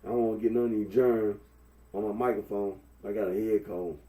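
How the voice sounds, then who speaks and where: A young man from with a head cold, talking through a face mask in a rambling, halting monologue full of fillers. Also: on the low side, steady tone, mellow. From Memphis, Tennessee. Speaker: vlogger. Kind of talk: casual Facebook Live monologue. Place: indoors at home. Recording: echoey room, mic at a distance.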